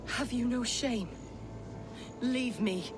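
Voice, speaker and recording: A woman's voice: takes it slowly, music in the background. An actress playing a pious, fanatically loyal woman in a medieval video game, fervent, indignant and formal. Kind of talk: dramatic video game cutscene acting. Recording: rumbling ambient noise behind.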